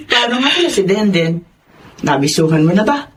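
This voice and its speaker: A woman playing a middle-aged widowed mother in a radio drama, fond, chiding and expressive. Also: medium pitch, moderate pace, far from the mic, heavy background noise, lightly acted. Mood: pleased, curious.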